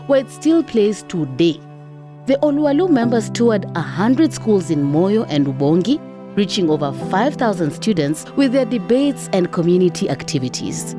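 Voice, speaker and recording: Low-pitched woman host with very animated delivery. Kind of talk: scripted documentary narration. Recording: music under narration. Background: background music.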